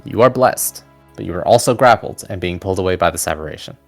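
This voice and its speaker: Guy running a fantasy tabletop game, lowish creaky voice, music behind him. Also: expressive, fast talker. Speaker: game master. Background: background music.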